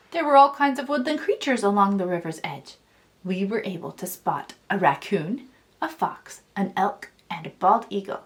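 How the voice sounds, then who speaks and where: High-voiced, animated woman storyteller. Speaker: children's author reading own book aloud. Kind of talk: expressive read-aloud storytelling for children.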